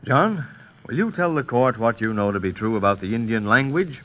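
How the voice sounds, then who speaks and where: A man's voice: medium pitch, animated, natural voice. Formal courtroom lawyer in muffled vintage radio, male actor. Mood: formal, assertive. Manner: formal, measured questioning. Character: formal male trial lawyer questioning a witness. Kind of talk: old radio courtroom drama acting.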